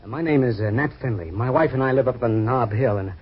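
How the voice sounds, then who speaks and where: An actor playing an anxious retired ex-fighter who stammers and pleads, in 1940s radio acting. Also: male voice, medium pitch, fast talker, a little hiss or hum, close to an everyday voice. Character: anxious retired ex-fighter, plump, haunted by a name. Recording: dull old radio recording.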